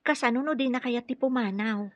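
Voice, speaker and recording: A woman's voice: fairly high pitch, fairly quick, no echo, close to an everyday voice. An actress with an Ilocano Filipino accent playing a caring but firm therapist and young mother in a radio drama. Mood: concerned. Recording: dull lo-fi radio drama, music underneath.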